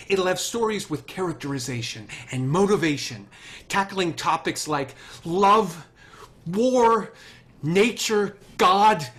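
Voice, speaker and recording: An actor playing an earnest, driven male TV writer, speaking with deliberate emphasis in a screenplay table read. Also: on the high side, a bit bright.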